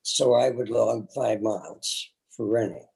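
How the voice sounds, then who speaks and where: A man's voice: fairly low pitch, a little slow, vocal fry, darkish tone, rough recording. A man in his seventies casually recalling his own experience as an interview guest, plain-spoken and hesitant. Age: 70s. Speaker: interview guest, host's father. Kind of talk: casual personal recollection.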